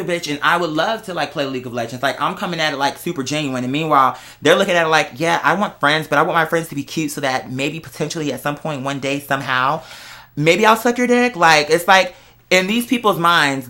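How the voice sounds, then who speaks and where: Bright-voiced sassy American man chatting. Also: fairly high pitch. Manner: sassy, slangy, emphatic with dramatic asides. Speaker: vlogger. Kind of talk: casual chatty confessional monologue.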